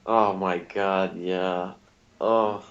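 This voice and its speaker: A man's voice: deep voice, steady tone, very slow, fairly bright tone. A man co-hosting a podcast in casual chat, on a dull, lo-fi recording. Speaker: podcast co-host. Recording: dull, lo-fi voice track.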